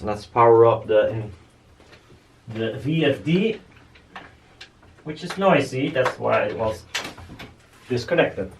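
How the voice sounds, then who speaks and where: A man talking casually and bluntly as he presents his own DIY build, rambling informally. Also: lowish voice. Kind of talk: casual workshop chat.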